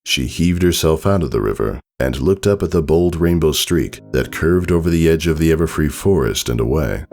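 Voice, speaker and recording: An extremely low-voiced man reads a story as a solemn, dramatic narrator. His delivery is measured, with a heavy creak in the voice, and faint music plays underneath. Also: lively intonation. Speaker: fan fiction narrator. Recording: soft music behind the voice.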